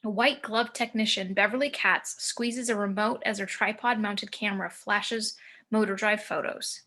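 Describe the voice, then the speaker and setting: A woman narrates a screenplay's action and scene headings. Her voice is very bright and forward, at an average pitch for a woman. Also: steady tone, normal speed. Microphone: home video call.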